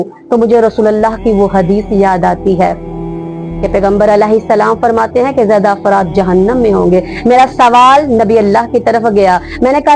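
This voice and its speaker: Higher-voiced woman giving a religious lecture. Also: gravelly, a little mellow, poor audio. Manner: rhetorical, builds repeated parallel phrases. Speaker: Islamic teacher.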